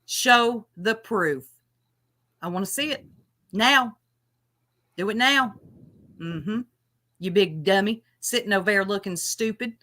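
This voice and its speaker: A woman's voice: medium pitch, takes it slowly, pauses often, bright, forceful tone. A woman hosting a casual panel chat, mocking and taunting someone with frequent laughter. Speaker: host.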